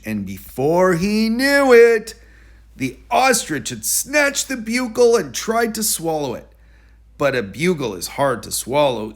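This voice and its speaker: Lively man reading a children's storybook. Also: on the high side. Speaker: storybook reader. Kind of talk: expressive children's story read-aloud.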